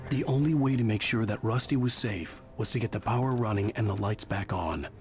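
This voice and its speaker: A man's voice: fairly low pitch, a little fast, close to an everyday voice. A man playing a troubled thriller writer, narrating his ordeal in the past tense in a horror game. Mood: grim. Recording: loud music behind.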